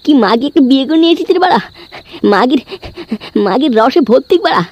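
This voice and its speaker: A man's voice: very high voice, lively intonation, fast, highs cut off. A man voicing a crude, foul-mouthed drunken husband in a comedy cartoon, slurring and grumbling. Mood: drunk, sleepy. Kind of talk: amateur comedy cartoon voice acting.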